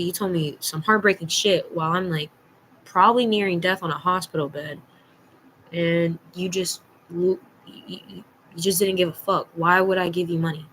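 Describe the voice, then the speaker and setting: Young woman doing a storytime vlog, bright punchy voice, blunt n slangy, bit of background noise. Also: fairly low pitch, poor audio. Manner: blunt, slangy, emphatic, acts out dialogue. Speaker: storytime vlogger. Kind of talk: casual personal storytelling.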